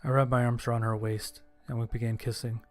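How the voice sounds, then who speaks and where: A man reading a scripted first-person story as narrator, in a measured, understated way. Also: mid-range voice, little pitch movement. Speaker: narrator and voice actor. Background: background music.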